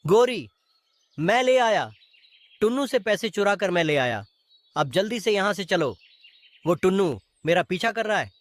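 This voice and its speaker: A wicked, excitable cartoon crow acted by a man. He is a bossy thief with a high, very lively voice and urgent orders. The sound is dry and close. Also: moderate pace, long pauses, dry recording. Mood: excited, urgent. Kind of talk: animated cartoon voice acting.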